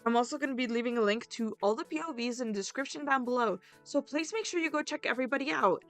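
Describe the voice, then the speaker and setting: A woman's voice: a little high, quick pace, a bit bright. A woman hosting a video in a casual, informal and chatty way, talking directly to her viewers with announcements. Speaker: roleplay series host and video creator. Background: background music.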